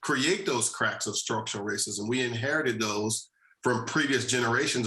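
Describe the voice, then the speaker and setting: A man from New Jersey, a social justice advocate and guest, making his case in a measured, deliberate way and repeating key phrases. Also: mid-range voice, pushed and bright, echoey room, low-quality recording. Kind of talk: earnest policy discussion.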